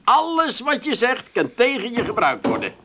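An actor playing a naive, self-important dreamer in a comic radio play, eager and stubbornly defiant. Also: male voice, very high voice, huge pitch swings, normal speed, heavy background noise, exaggerated voice. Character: naive, stubbornly self-important male dreamer, put-upon brother-in-law.

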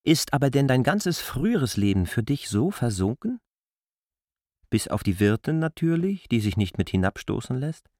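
An actor playing a defiant, striving outsider in a German radio play, arguing insistently in rhetorical questions. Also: male voice, mid-range voice, sing-song, moderate pace, darkish tone, no room sound, studio quality. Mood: urgent argument. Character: defiant, striving male outsider pleading his case.

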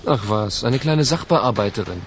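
A man's voice: medium pitch, expressive, normal speed, noisy background, close to an everyday voice. An actor playing a matter-of-fact, wryly gloomy male police detective in a radio play. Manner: matter-of-fact, clipped, wry.

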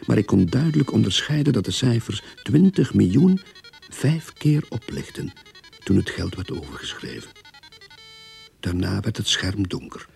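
An actor playing a wry man narrating his own crime story in a radio drama, with a husky voice. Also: lowish voice, a little mellow, heavily acted. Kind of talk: radio drama acting with first-person narration.

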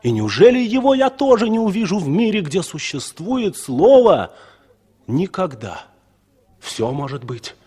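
A man's voice: sits high, very animated, unhurried, warm tone, husky, full character voice. An actor playing a dreamy, impassioned traveller who narrates his thoughts as a poetic, declamatory monologue in a 1960s radio play. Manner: declamatory, reflective.

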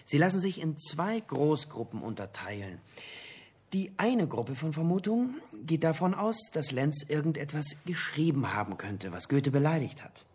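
An actor playing a male literary scholar in a radio crime drama, explaining theories in a husky, didactic way. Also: fairly high pitch, big pitch swings, normal speed, darkish tone. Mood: explaining, intrigued. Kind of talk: German radio play dialogue.

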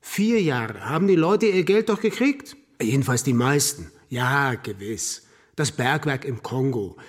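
A man's voice: mid-range voice, expressive, measured pace. A man playing a brazen, self-justifying investment swindler in a radio crime drama, breezy and evasive.

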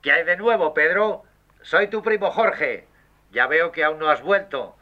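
A man's voice: fairly high pitch, animated, a little slow. A man playing a casual, teasing cousin leaving a scripted answering-machine message. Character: friendly male cousin leaving phone message. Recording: dull answering-machine sound.

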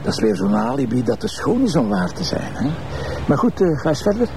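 Gravelly, teasing Flemish police commissioner. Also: male voice, medium pitch, huge pitch swings, brisk, background noise. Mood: probing, wry. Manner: colloquial, bantering, needling. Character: brash, teasing, beer-loving Flemish male police commissioner. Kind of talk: naturalistic TV crime drama acting.

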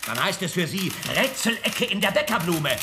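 An actor playing a gruff, threatening newspaper boss in a radio drama, scornful and sarcastic. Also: male voice, high-pitched, very animated, normal speed, over loud music. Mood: angry, sarcastic. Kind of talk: German radio drama acting.

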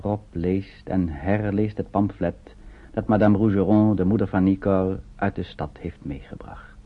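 A man narrates a scripted radio drama in a measured, storytelling way. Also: low-pitched, fairly even intonation. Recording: muffled narrow-band old radio recording.

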